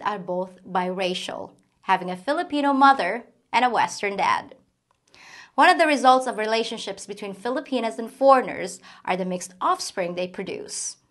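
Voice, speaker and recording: A woman speaking as a host, moving between scripted introduction and casual interview questions. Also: medium pitch.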